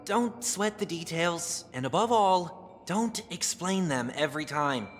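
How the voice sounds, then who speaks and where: An actor playing a calm, courteous and melancholy young man in a game cutscene, speaking in a measured, formal way. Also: on the high side, long reverb tail. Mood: gently reflective. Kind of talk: scripted game cutscene dialogue. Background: music.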